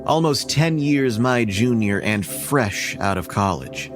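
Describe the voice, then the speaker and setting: A man's voice: medium pitch, expressive, slow, over music. An actor in his thirties narrating a horror story in the first person in a dry, sardonic way. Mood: wry. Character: wry man narrating his own ordeal. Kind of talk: scripted horror audio drama narration.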